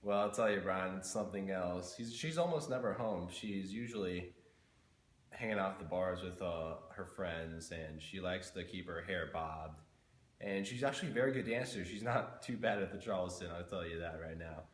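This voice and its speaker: Guy playing easygoing 20s boyfriend on mock news, deep voice, folksy, echoey room. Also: normal speed, natural voice. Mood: fond, chatty. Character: easygoing 1920s man devoted to his flapper girlfriend. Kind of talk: amateur acted news interview. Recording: echoey room, faint background noise.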